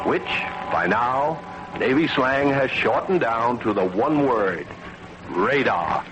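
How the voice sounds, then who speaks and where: An earnest American scientist narrates in dramatic old-time radio style, with a medium-pitched voice. Loud music runs behind him on a vintage broadcast recording. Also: male voice, lively intonation, takes it slowly, highs cut off. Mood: dramatic, triumphant. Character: earnest navy scientist recounting his discovery. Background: prominent music.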